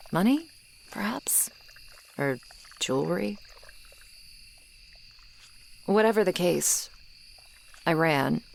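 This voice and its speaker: Swamp monster girl, played by a woman for ASMR roleplay: low-voiced, unhurried, guarded and gruff over hidden loneliness. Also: slight background noise, heavily acted. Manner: grudging, guarded, halting, close and intimate delivery. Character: gruff tsundere female swamp monster, once human, lonely. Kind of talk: ASMR roleplay, acted monologue to the listener. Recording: swamp ambience of crickets and frogs. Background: insects and frogs, swamp night ambience.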